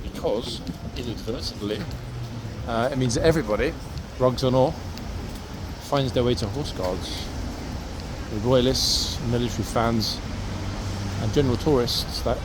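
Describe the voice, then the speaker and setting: A man with a mid-pitched voice hosts a walking vlog, talking casually and directly to his viewers. He chats his way along a busy city street outdoors. Clear traffic and urban noise runs behind his voice. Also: unhurried. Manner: chatty, addressing viewers directly. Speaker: vlogger and city walk host. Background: traffic and urban outdoor ambience.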